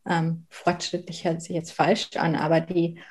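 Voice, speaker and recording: A woman from southern Bavaria with a light Bavarian accent, speaking in a fairly low voice. She talks earnestly and thoughtfully, pausing often with fillers as she gathers her words. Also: flat delivery, darkish tone, husky. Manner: frequent hesitations, thoughtful and earnest. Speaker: guest, working mother. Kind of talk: conversational interview.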